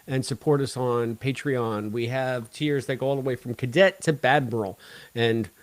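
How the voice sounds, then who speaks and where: A man's voice: medium pitch, steady tone, moderate pace, no room sound. A man hosting a casual live podcast, bantering with jokey wordplay and a playfully theatrical delivery. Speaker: podcast host.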